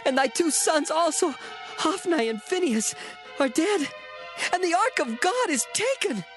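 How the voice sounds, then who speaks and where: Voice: very high voice, sing-song, measured pace, full character voice. A performer playing a distressed young male messenger fled from battle, earnest and grave, in dramatized scripture. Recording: background music under the voice.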